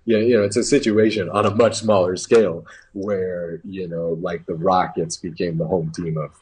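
A man's voice: sits low, fairly even intonation, normal speed, darkish tone, a little hiss or hum. An American man commenting casually on basketball, opinionated and repeating phrases for emphasis. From New England, United States. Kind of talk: casual sports talk.

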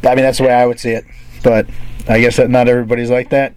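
A man's voice: medium pitch, flat delivery, quick pace, a little mellow, clear, resonant tone, poor audio. A man interviewing a basketball player, asking probing questions and often restarting mid-sentence.

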